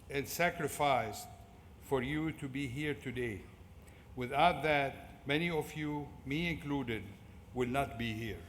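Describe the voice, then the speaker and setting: Echoey speech by a Syrian-accented man. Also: on the high side. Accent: Syrian Arabic. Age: middle-aged. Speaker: commencement speaker. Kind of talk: prepared motivational speech. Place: large indoor fieldhouse at a ceremony. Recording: echoey fieldhouse PA with clear background noise.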